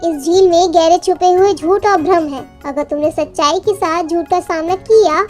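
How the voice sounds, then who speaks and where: A magical storybook parrot, acted by a woman, speaks in an extremely high, small voice. He is a solemn, mysterious guide who instructs and warns. Soft music plays behind him. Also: moderate pace. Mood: solemn warning. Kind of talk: children's storybook character voice.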